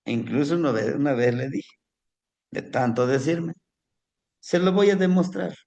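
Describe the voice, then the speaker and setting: A man from Mexico, a native Nahuatl speaker with a Mexican Spanish accent, telling a personal story to a class in a slow, reflective way. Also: on the high side, vocal fry, dull audio. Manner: slow, reflective, warmly addresses listeners as family.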